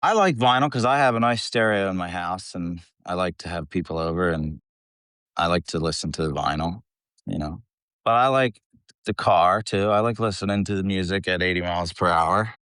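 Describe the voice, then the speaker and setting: Low-voiced man rambling in casual chat. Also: normal speed, fairly bright tone, clear, resonant tone, no echo, studio quality. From United States, Philadelphia area. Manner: rambling, informal, salty-tongued. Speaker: metal band vocalist, interview guest.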